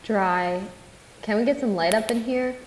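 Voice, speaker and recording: A woman's voice: fairly high pitch, measured pace, natural voice. A young woman acting as a sensible, sociable college friend in an audio drama, casual and matter-of-fact. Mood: practical. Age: young adult. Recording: echoey room, clear sizzling noise.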